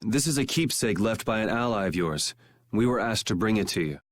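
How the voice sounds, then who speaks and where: A man's voice: lowish voice, lively intonation, fairly quick, lightly acted. A man playing a cool, blunt young swordsman and leader in a video game, with a dry, sardonic manner. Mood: matter-of-fact. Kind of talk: video game dub acting.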